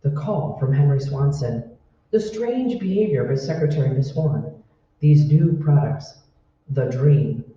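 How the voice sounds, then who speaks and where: A man's voice: mid-range voice, expressive, measured pace, dark tone, lightly acted. A man playing the grave narrator of an eerie old-time radio mystery. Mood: ominous. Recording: echoey hall, distant mic, dull.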